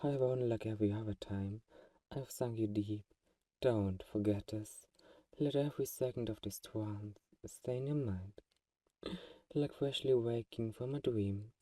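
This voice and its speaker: A man's voice: lowish voice, unhurried, warm tone, dry recording, distant mic, natural voice. A man playing a tender, manipulative vampire friend in a hypnotic ASMR roleplay, coaxing and soothing with intimate endearments. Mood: hypnotic, commanding.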